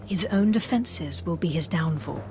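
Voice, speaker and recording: Stern, imperious dark apprentice. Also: female voice, on the low side, animated, measured pace, background noise. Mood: composed. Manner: formal, controlled, imperious. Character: stern female Sith apprentice, former slave. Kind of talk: video game dialogue acting.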